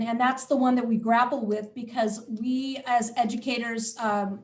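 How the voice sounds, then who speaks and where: A woman leading a school town hall, answering questions in a measured way with many pauses and fillers, her voice getting worn. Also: on the high side, recorded from a distance. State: losing her voice, clearing throat.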